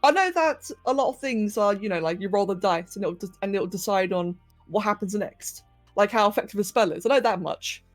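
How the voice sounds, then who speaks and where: A woman's voice: mid-range voice, animated, fairly quick, faint music behind. Chatty woman streamer. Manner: chatty, greets viewers, laughs often, asides to her pets. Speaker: livestream host. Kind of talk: casual chat with live chat while sculpting.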